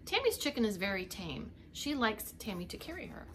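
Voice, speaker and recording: Animated middle-aged woman reading to children. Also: medium pitch, a little fast, a little hiss or hum. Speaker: preschool teacher reading aloud. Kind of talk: read-aloud children's storytime with asides. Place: indoors, home living room.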